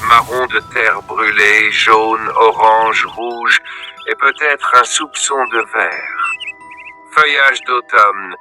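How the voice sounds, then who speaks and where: A man with a strikingly bright, lower-pitched voice recites poetry. His delivery is slow, measured and declamatory, as in a scripted reading. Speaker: poetry reader.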